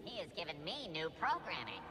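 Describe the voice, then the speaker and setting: A man's voice: on the high side, wildly swooping pitch, brisk, pushed and bright, heavily acted. A man voicing a hapless, anxious battle droid in a video game, with a nasal, robot-filtered voice and a naive, literal-minded manner. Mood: dutiful. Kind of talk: video game character dialogue. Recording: noisy game capture, long reverb.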